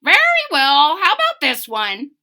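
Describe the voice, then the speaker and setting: A woman's voice: high-pitched, huge pitch swings, unhurried, pushed and bright, voice pushed up, exaggerated voice. A woman voicing a giant, bossy mother bird who guards her eggs, squawky and suspicious as she reads a picture book aloud. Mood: coaxing. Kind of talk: read-aloud storybook voice.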